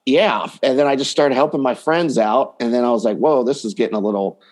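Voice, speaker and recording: A man's voice: medium pitch, a little fast, a little mellow. A man talking casually and explaining his coaching work as a guest in an interview. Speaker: dating coach, podcast guest.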